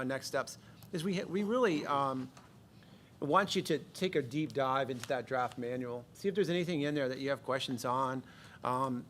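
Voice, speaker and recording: A man's voice: mid-range voice, fairly bright tone, slight background noise. Earnest man presenting at a meeting. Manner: earnest, hesitant with many fillers and restarts. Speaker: school administrator presenting to the board.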